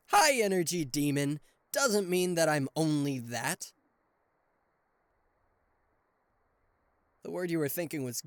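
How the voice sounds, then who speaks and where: A man playing a charismatic, flustered king of hell as a boyfriend in an ASMR roleplay audio drama, with a theatrical, halting delivery. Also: a little high, dry recording, studio quality. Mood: mock offended. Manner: theatrical, hesitant stammering, exasperated sighs.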